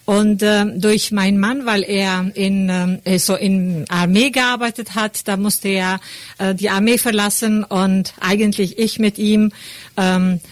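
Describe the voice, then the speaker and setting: A middle-aged woman from Kosovo, once a teacher, telling her story with many pauses and ums. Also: mid-range voice, steady tone, dry recording. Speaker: interviewee, former teacher. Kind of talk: personal account in an interview.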